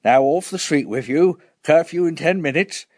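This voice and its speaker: A man voicing a determined air-raid warden with a booming, bossy manner, in a storytelling narration. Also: moderate pace, a little mellow, no room sound, studio quality, lightly acted. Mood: brisk reassurance.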